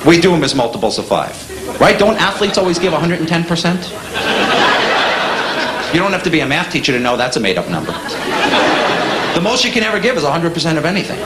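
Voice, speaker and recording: A man with a New York accent doing a stand-up comedy set, delivering punchy deadpan jokes with quick asides to the crowd. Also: on the high side, very noisy. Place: comedy club stage with a live audience. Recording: echoey live room with loud audience laughter.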